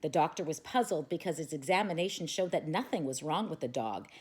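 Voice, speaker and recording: A woman reading a children's picture book aloud with expressive storytelling. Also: low voice, fairly quick, slightly rough.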